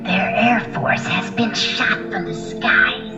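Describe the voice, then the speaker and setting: An actress playing a military officer giving clipped, formal war reports in an audio drama. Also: female voice, medium pitch, slow, natural voice. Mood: grave. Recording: distant dull echoey audio, loud music.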